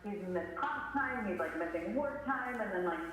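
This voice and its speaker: A woman's voice: medium pitch, lively intonation, moderate pace, a bit bright. A woman speaking as a parent on a school call, challenging and insistent, leaning often on filler words. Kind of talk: training role-play of a parent phone call. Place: large echoey room, far from mic. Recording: very echoey hall, distant mic, faint noise.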